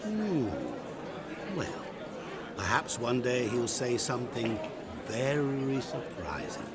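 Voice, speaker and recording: A sly ominous devil of a merchant. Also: male voice, mid-range voice, sing-song, a loud crowd. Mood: mocking. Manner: smooth, knowing, teasing, slowly savoring words. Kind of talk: video game cutscene acting.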